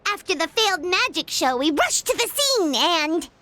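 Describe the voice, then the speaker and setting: A woman voicing a tiny, excitable, boastful fairy companion with a squeaky childlike voice in a video game. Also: normal speed, exaggerated voice. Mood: boastful, excited.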